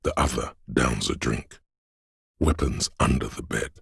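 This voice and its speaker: Brooding city spirit for a game, super low gravelly voice, slow ominous poetic narration, really husky. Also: male voice, dry recording, clean studio sound, heavily acted. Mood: somber, watchful. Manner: ominous, solemn, measured, hushed. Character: Shivers, brooding omniscient spirit voice of a windswept city.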